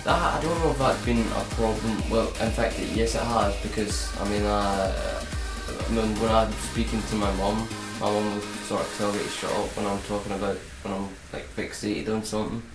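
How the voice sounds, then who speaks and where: A teenage boy talking to camera in a casual vlog, halting and repeating himself with many fillers. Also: on the low side, steady tone. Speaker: vlogger. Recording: roomy echo with electronic music behind.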